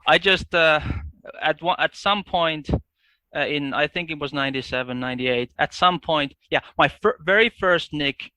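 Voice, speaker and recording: A man from Finland with a Finnish accent, a guest in a casual podcast chat, speaking haltingly with many restarts. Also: fairly high pitch, sharp tone, no echo.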